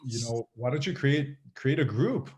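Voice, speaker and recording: A man from Iran who moved away as a child, telling his personal story casually as a podcast guest, in a halting, reflective way. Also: mid-range voice, expressive, darkish tone. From Iran, moved to Canada at eight. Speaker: podcast guest, fitness group leader.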